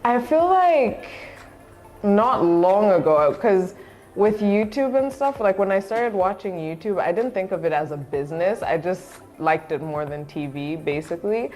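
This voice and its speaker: A young woman from Zambia, a guest chatting casually in an interview, relaxed and laughing often, with many fillers. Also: medium pitch, unhurried, soft background music. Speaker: guest, content creator.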